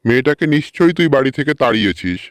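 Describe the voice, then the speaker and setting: A man's voice: medium pitch, very animated, rapid-fire, no echo, studio quality, natural voice. A man voicing a cautious, suspicious middle-aged village father in a cartoon story. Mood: accusing.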